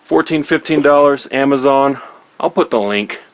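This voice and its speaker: A man's voice: mid-range voice, normal speed. A man giving a casual, easygoing hands-on product review in an informal, conversational way.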